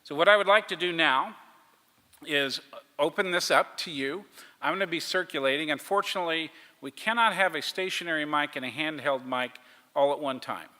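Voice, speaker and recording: A man leads a public meeting with the measured cadence of a trained preacher. His voice is bright and forceful and sits a little higher than most men's. It rings through a reverberant hall with a faint hiss underneath. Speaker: meeting facilitator.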